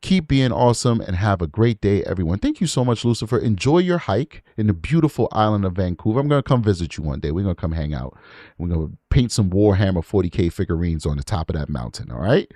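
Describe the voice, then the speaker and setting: Low-voiced man hosting a livestream. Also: sing-song, quick pace, warm tone, dry recording, clean studio sound. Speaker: host of a true crime livestream. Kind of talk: casual livestream commentary on played audio clips.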